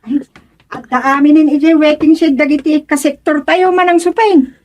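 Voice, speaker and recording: An actress plays a small-village councilwoman in an Ilocano radio comedy. Her voice is high, and she speaks to her neighbors with earnest civic pride. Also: steady tone, normal speed, a little mellow, lightly acted. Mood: friendly.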